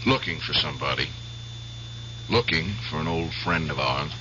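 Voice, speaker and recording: An actor playing an obsessed, vengeful middle-aged war veteran in an old-time radio drama, clipped, sardonic and deliberate. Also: male voice, rumbling low, noisy background. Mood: wry, clipped. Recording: dull lo-fi radio drama recording.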